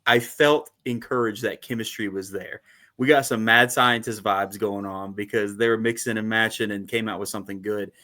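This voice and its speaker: A man co-hosting a fan podcast in a casual, animated chat, laughing often and using lots of fillers. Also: on the low side, moderate pace. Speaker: podcast co-host.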